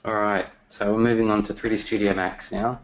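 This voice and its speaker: A man presenting software features in a webinar, talking casually with many fillers, his voice getting worn and losing strength. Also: on the low side, level tone, fairly quick. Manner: casual, many fillers and restarts, throat clearing.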